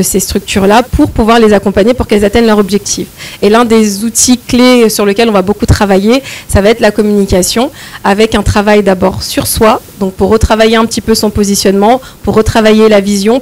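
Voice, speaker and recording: Female consultant guest, measured and well-organized answers. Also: mid-range voice, darkish tone. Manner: clear, organized explanation. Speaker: strategy and project development consultant, guest. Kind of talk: interview answers.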